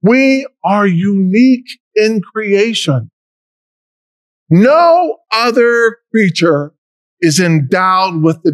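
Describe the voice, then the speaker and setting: An older American man, a deacon, preaching a homily from a lectern in a large church, in a slow, measured way with emphatic rises. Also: sits high, no echo. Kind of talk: sermon.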